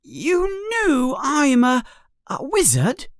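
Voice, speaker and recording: A high startled young boy. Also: huge pitch swings, slow pace, no echo, studio quality, exaggerated voice. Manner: high, eager questioning.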